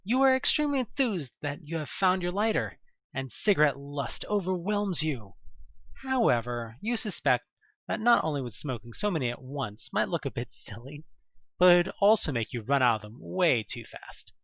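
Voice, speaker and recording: A man reading a scripted second-person story in a theatrical, expressive narrator's manner. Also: on the high side, moderate pace, vocal fry, dry recording, dull audio.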